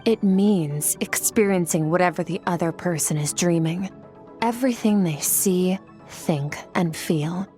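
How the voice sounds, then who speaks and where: An actress playing an aloof, stoic young woman sword master in a video game, blunt and disciplined, with a cool deadpan delivery. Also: on the low side, unhurried, soft background music, natural voice. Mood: matter-of-fact. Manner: cool, deadpan, matter-of-fact.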